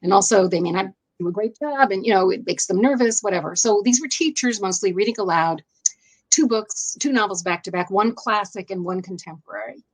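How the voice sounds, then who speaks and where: A woman's voice: mid-range voice, brisk, rough recording. A woman with an American accent talking as an interview guest, explaining things thoughtfully and rephrasing as she goes. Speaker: interview guest, education writer.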